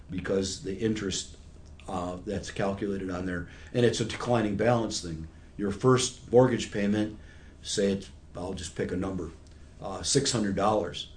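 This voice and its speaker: A man's voice: on the low side, darkish tone. A man in his sixties giving patient, conversational explanations with everyday examples, as an expert guest in an interview. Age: 60s.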